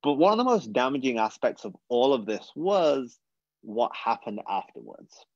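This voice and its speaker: A man's voice: medium pitch, sing-song, moderate pace, dry recording, highs cut off. A British man in his thirties, speaking earnestly and reflectively as a guest speaker on a webinar, often restarting his sentences. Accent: British English. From England. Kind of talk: webinar talk.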